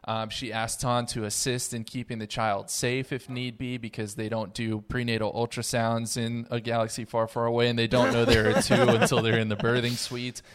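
A man's voice: medium pitch, little pitch movement, moderate pace, hardly any pauses, clean tone. A man hosting a casual roundtable discussion, conversational and steering the talk with questions. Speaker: podcast host moderating a roundtable.

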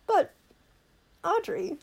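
A woman's voice: drawn-out words, a little mellow. A woman playing a wooing court jester in a Shakespearean radio play. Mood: coaxing. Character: Touchstone, court jester clown courting a country girl.

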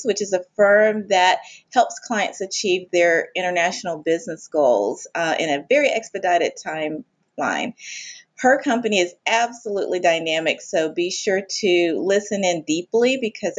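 A woman hosting a podcast, reading a scripted intro and then interviewing with enthusiasm. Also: medium pitch. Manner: upbeat and enthusiastic. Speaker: podcast host.

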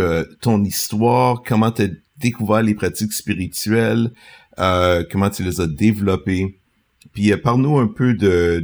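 A male host asking calm, measured interview questions. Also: fairly low pitch.

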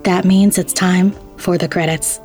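A woman's voice: fairly low pitch, steady tone, measured pace, a little mellow. A woman hosting a podcast, reading scripted credits and announcements. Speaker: host. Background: faint music.

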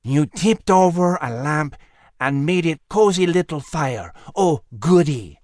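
A man's voice: a little high, sing-song, drawn-out words, breathy edge, dry recording, full character voice. A man voicing a sneering, menacing, mocking villain in a storybook.

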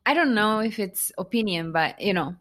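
A woman's voice: mid-range voice, animated, moderate pace, fairly bright tone. A woman from Japan with a Japanese accent, a guest author in a casual interview, chatting with many fillers and restarts.

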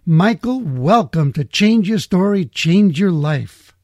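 Middle-aged male host, husky mellow voice, measured and talkative. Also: high-pitched, expressive, no room sound. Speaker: podcast host. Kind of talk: scripted intro then casual interview.

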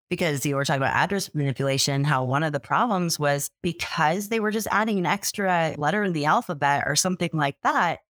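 Lower-voiced woman hosting a podcast. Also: no echo, studio quality. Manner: animated storyteller, acts out quotes. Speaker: podcast host. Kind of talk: conversational podcast interview.

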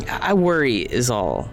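A man's voice: mid-range voice, a bit bright, full character voice. Worried, plain-spoken male friend. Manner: plain-spoken, measured, casual wording. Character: worried, cautioning male friend, morally serious. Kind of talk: naturalistic audio drama acting.

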